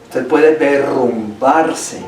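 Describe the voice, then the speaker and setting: A man's voice: mid-range voice, very slow, darkish tone, faint music behind. A male pastor preaching a Sunday sermon in a church, emphatic and repeating key phrases for stress. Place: church sanctuary. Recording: echoey hall, voice from far off.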